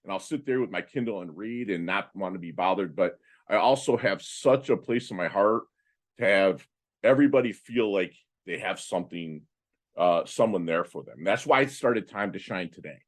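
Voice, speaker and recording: A man in his fifties, a podcast guest, telling his life story in a casual, animated way with many fillers and restarts. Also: deep voice, normal speed. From Philippines. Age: 50s. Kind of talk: casual interview talk.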